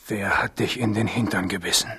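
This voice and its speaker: A man's voice: lowish voice, fairly even intonation, a little fast, pushed and bright, breathy edge, exaggerated voice. An actor playing a passionate, jealous young king and bridegroom in a German radio drama, intense and accusing.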